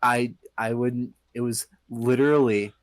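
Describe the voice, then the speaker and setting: A young man chatting casually as a podcast guest, halting and dryly understated. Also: medium pitch, fairly even intonation, no echo. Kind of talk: casual chat, reminiscing.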